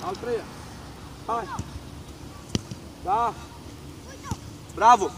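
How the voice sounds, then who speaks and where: Guy coaching football, really high voice, yelling quick orders and praise, bit of outdoor noise. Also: huge pitch swings, creaky. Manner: loud, urgent, barking short commands. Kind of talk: shouted drill instructions and praise at training. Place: outdoors on a football training pitch.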